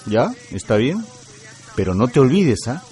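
An actor with a Peruvian accent playing a shrewd older moneylender in a radio drama, familiar but firmly transactional. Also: male voice, mid-range voice, big pitch swings, a little slow, dark tone. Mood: shrewd, insistent.

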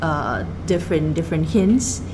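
A woman in a casual book discussion, explaining her views in a measured, reflective way. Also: low voice, animated. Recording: clear background noise, room ambience.

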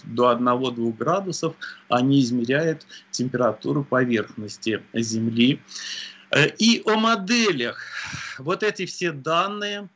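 A man's voice: mid-range voice, fairly bright tone, highs cut off. Hesitant man explaining weather science. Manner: measured, frequent hesitations. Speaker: meteorologist guest. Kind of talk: explanatory interview answers.

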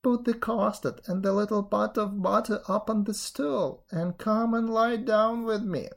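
A woman's voice: mid-range voice, fairly even intonation, measured pace, vocal fry, pitched above the performer's own voice. A woman voicing a sly male wolf in a fairy tale, coaxing with mock tenderness.

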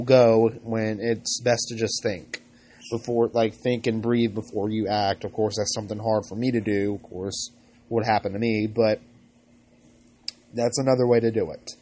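A man hosting a podcast with informal advice, congested from a cold, speaking in a halting, rambling way and often correcting himself. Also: medium pitch, a little mellow. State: recovering from a cold. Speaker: podcast host.

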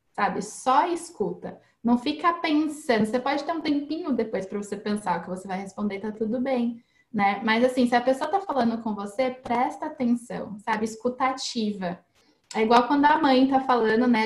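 A woman with a fairly high voice explains learning tips in a relaxed, chatty way, often checking in with tag questions. Her voice rings in an echoey room. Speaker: English teacher guest. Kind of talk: casual interview advice.

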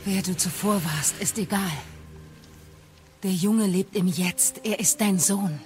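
A woman's voice: mid-range voice, over music, lightly acted. A woman playing a stern, solemn goddess and healing witch in a video game audio drama, grave and commanding. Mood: urgent, commanding. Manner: commanding, grave, deliberate phrasing.

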